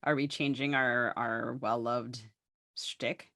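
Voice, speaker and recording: A woman's voice: extremely low pitch, fairly even intonation, takes it slowly, sharp tone, no echo, clean studio sound. A woman co-hosting a podcast, moving between casual chat and scripted introductions. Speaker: podcast co-host.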